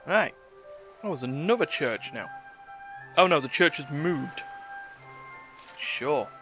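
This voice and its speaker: A man's voice: fairly high pitch, animated, takes it slowly. A man streaming a video game, commenting casually and offhand as he reacts aloud to it. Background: faint game music.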